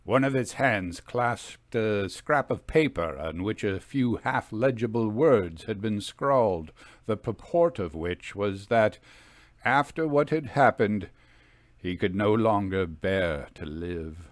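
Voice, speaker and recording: Slow, low-voiced man reading a story. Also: expressive, no room sound. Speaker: audiobook story reader. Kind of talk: scripted literary narration of a ghost story.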